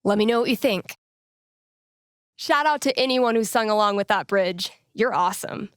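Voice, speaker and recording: A woman acts out a laid-back young female radio host from a video game, chatting with callers in an easy, improvised way. Her pitch sits in the middle for a woman and the sound is dry, with no room on it. She is playful and wry, slangy one moment and mock-dramatic the next. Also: moderate pace, no room sound, natural voice. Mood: upbeat. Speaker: call-in radio show host.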